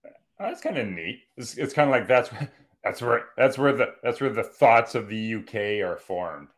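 A man's voice: medium pitch, lively intonation, moderate pace. A man in his forties from western Canada, talking casually as a podcast guest, rambling and correcting himself with jokey asides. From Calgary, Alberta, Canada. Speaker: guest, professor and writer. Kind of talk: casual conversational interview.

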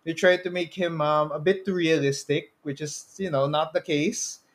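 A man's voice: on the high side, steady tone, normal speed. A man with a Filipino accent co-hosting a podcast in a casual, conversational style. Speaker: podcast co-host. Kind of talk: casual chat about movies.

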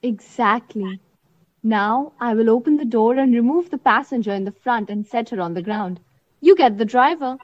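Confident female mission leader for radio play, played by a woman: high voice, instructing, deliberate, slightly dull recording. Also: lively intonation, lightly acted. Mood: controlled. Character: confident female leader of a covert night exercise, instructing her partner. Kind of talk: audio drama acting.

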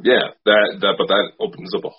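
Low husky man explaining folklore, lo-fi. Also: flat delivery. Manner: measured, explains folklore, unscripted. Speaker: podcast co-host. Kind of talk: casual chat. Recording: dull lo-fi.

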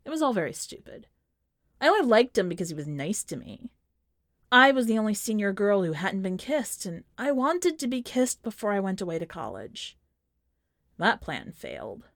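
A woman's voice: medium pitch, sing-song, moderate pace, a bit bright, dry recording. Woman narrating fiction, close dry recording. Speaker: podcast host and fiction reader. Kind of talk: audiobook-style first-person short story narration.

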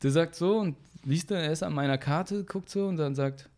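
A young man in casual conversation, telling stories in an animated way with slangy fillers, getting louder when he is indignant. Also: on the high side, normal speed. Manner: animated storytelling, rising loud when indignant. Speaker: guest.

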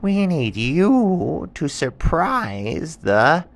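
Little jungle animals, high and pleading; a man voices them. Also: sing-song, extremely slow, creaky, heavily acted.

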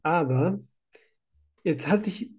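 A man with a cold chats casually into a podcast microphone. His voice sits a little high but sounds warm and mellow, and he tends to ramble and laugh along the way. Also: lively intonation, takes it slowly, husky. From Germany. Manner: chatty, laughs often, rambling. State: has a cold. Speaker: podcast host, site manager.

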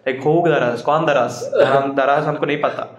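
Fast-talking young South Indian man, echoey room. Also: mid-range voice, steady tone. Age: young adult. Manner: chatty, laughing, mixing in Telugu. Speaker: podcast guest. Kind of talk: casual chat.

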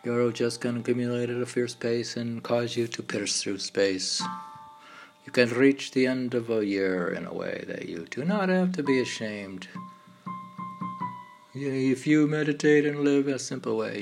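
A man reading his own meditative poems in a halting way, now and then repeating or stumbling over words. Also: mid-range voice, lively intonation, vocal fry. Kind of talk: slow meditative poetry reading. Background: piano and keyboard music.

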